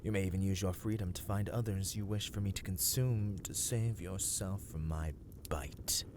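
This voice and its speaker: A man playing a brooding, self-loathing vampire in a dramatic ASMR roleplay, speaking in a formal, archaic, hushed and breathy manner. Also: sits low, steady tone, normal speed, background noise, natural voice. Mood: grave, wary. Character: brooding, self-loathing male vampire, menacing yet conflicted.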